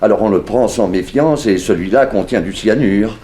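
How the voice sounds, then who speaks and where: Mellow, fussy fashion boss played by an actor. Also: male voice, fairly low pitch, moderate pace, close to an everyday voice. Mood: shaken, dismayed. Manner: fussy, dramatic, exclamatory. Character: fussy, flustered male fashion-house boss. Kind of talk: 1960s French radio drama acting. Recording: faintly noisy vintage radio recording.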